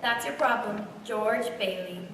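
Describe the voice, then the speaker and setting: A woman playing a brisk, authoritative chief of the angels in an old-time radio play. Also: medium pitch, a bit bright, a little hiss or hum. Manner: brisk, commanding. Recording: very echoey stage from far off.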